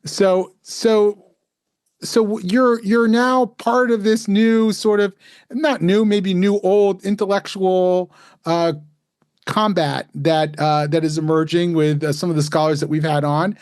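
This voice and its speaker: Guy hosting a podcast, kinda high voice, dry close sound, rambles a bit before getting to the question. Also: steady tone, unhurried, darkish tone, clear, resonant tone, dry recording. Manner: rambling with fillers and restarts. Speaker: podcast host. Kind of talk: podcast interview.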